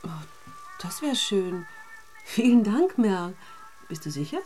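A woman's voice: mid-range voice, very animated, slow, darkish tone, soft background music. A woman playing a busy, fretting mother of young children in a radio drama, with an everyday, fretful manner. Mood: relieved. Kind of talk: German radio drama acting.

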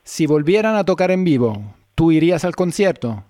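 A man hosting a scripted radio interview, asking polite, measured questions at a studio microphone. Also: a little high, lively intonation, mellow, no room sound.

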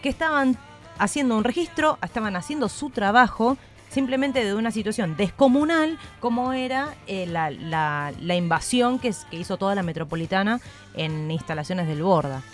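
A woman's voice: medium pitch, expressive, moderate pace, faint music behind. A woman with an Argentine Spanish accent hosting a radio talk show, giving political commentary in conversation. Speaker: radio host.

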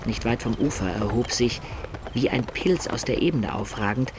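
An actor playing a loyal, observant companion who recounts a medieval campaign in measured, detailed radio drama narration. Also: male voice, medium pitch, background noise, natural voice. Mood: measured storytelling.

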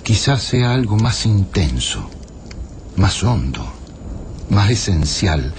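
Male literary narrator, reflective and unhurried, expressive intonation, faint background noise. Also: lowish voice. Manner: solemn, reflective. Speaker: radio drama narrator.

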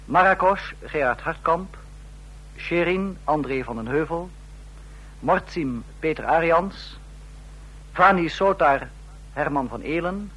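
A man's voice: on the high side, lively intonation, slow, pauses often, a bit bright, slightly rough, poor audio. A man formally announcing the credits of a radio drama.

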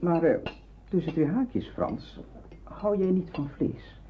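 A man's voice: fairly high pitch, slow pace, dull audio. An actor playing a cheerful, confident young man in wartime hiding in a radio drama. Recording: thin, noisy old radio recording.

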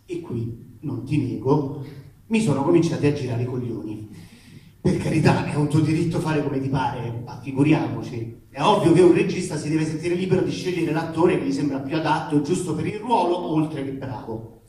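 In a very echoey space, picked up from a distance, a man reads a letter aloud as comic theatre. His voice sits a little high for a man. The delivery is emphatic and ironic, full of grievance and colloquial swearing. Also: normal speed, warm tone. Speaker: actor reading aloud. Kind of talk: comic theatrical reading of a letter to a live audience. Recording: echoey room, distant mic, faint noise.

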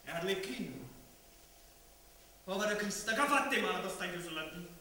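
A man's voice: high voice, lively intonation, a little fast, pushed and bright, a little hiss or hum. A man with a southern Italian accent playing a crafty, boastful, self-pitying servant, declaiming emphatically in a stage comedy. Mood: agitated, defiant. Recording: echoey hall, distant mic.

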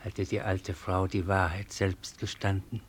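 Raja, radio drama. Very low, slow, husky, terse and brooding. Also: male voice, level tone. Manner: terse, weighty. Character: brooding male raja questioning his servant. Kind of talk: German radio drama acting.

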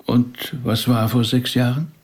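An actor playing an inquisitive, tactful rabbi in a German audio drama, polite and probing in a conversational way. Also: male voice, medium pitch, little pitch movement, measured pace, darkish tone, close to an everyday voice.

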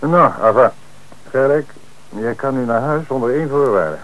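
An actor playing a calm, authoritative police commissioner in an old radio play, on a noisy old radio recording. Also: male voice, mid-range voice, close to an everyday voice. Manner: measured, authoritative. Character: middle-aged male police commissioner, calm and dry. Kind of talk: 1960s radio play acting.

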